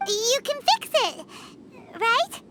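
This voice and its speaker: Super squeaky little fairy game sidekick, chirpy n blunt, unhurried pace, swoops all over. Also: female voice, very animated, noisy background, heavily acted. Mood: excited. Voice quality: squeaky, childlike. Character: tiny childlike fairy companion, female, chirpy, excitable and blunt. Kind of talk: video game voice acting.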